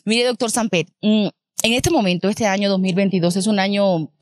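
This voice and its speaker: A woman's voice: medium pitch, fairly even intonation, moderate pace, no room sound, studio quality. A middle-aged woman from the Dominican Republic, hosting a conversational TV interview in a respectful, jocular way. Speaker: interviewer and host. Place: outdoor terrace. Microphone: handheld microphone.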